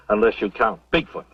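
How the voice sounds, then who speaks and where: An actor playing a kindly, thoughtful older professor with a husky voice and a measured, avuncular way of explaining, in a vintage Western radio drama. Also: male voice, lowish voice, a bit bright, no echo, heavily acted. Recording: dull old radio recording, faint music.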